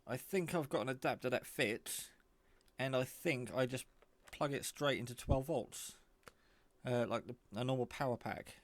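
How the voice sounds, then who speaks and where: Man, low-voiced, casual and rambling. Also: moderate pace, no echo. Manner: rambling, informal. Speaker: radio hobbyist showing a handset. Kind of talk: casual product show-and-tell.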